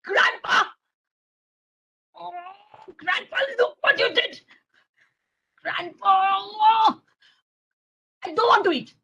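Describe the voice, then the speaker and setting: A woman playing an excitable, stubborn little boy in a children's story, whiny and exclaiming, repeating phrases. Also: very high voice, slow pace, long pauses, pitched above the performer's own voice. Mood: angry tantrum.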